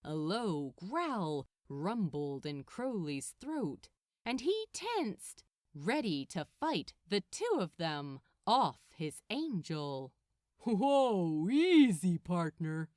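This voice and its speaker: Unhurried woman narrator, lively pitch. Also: no echo, studio quality. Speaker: fan fiction narrator. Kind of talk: expressive storybook narration, read aloud.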